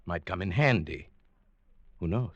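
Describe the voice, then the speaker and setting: A low-voiced, meek, henpecked professor. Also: male voice, expressive, gravelly, no echo, highs cut off, exaggerated voice. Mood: meek, evasive. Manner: mild, measured, submissive with dark undertone. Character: meek henpecked professor with quietly simmering resentment. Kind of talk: 1940s radio drama acting with narration. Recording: vintage 1940s radio recording, background music.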